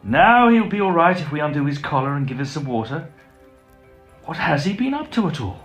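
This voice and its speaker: A man playing a brisk, blunt, level-headed young medical student in an audio drama. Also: fairly high pitch, big pitch swings, fairly bright tone, soft background music. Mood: brisk concern. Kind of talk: acted audio drama dialogue.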